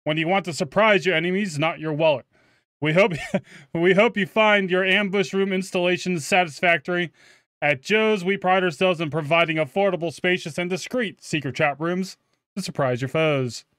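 A man's voice: high-pitched, moderate pace, fairly bright tone, no room sound, clean studio sound. A man voicing a formal, promotional salesman of shady services, reading out a video game note.

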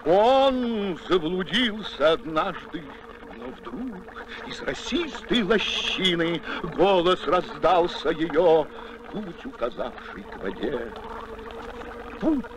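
An actor playing a solemn reciter of ancient fables, narrating verse expressively for an animated film. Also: male voice, high voice, exaggerated voice. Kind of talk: poetic scripted narration. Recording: noisy film soundtrack.

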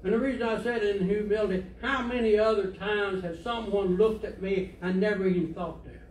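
Older preacher, echoing far off. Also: male voice, sits high, steady tone, a little fast. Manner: plainspoken storytelling, conversational. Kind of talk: sermon. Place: church sanctuary, indoors, standing at a pulpit. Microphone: far camera. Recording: echoey church from far off, faint hiss.

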